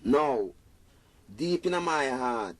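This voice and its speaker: A man's voice: mid-range voice, animated, extremely slow. A Jamaican man, a reggae singer being interviewed, talking in an earnest, rambling way with patois phrasing. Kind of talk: casual interview talk.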